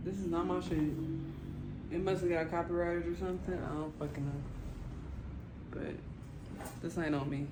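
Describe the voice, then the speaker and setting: A woman's voice: on the low side, level tone, moderate pace, pauses often. A woman giving casual, unscripted reaction commentary as a host, blunt and swearing freely. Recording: roomy echo, faint background noise.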